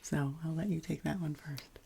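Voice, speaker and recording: Deep, husky woman speaking softly. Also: steady tone. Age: adult, past college. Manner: slow, gentle, soothing delivery. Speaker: ASMR channel host. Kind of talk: ASMR soft-spoken and whispered chat.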